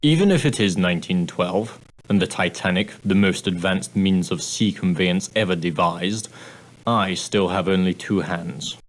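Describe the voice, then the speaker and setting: Straight-laced ocean liner steward, lower-pitched male voice, formal, clipped, dryly sardonic, adventure game dialogue. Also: unhurried, poor audio. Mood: dry, exasperated.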